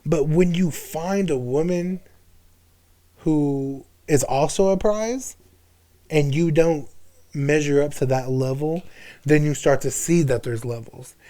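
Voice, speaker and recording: A man's voice: a little high, slow pace, dark tone. Slangy young man in candid conversation. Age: 20s. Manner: slangy, sprinkled with swearing. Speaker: podcast guest.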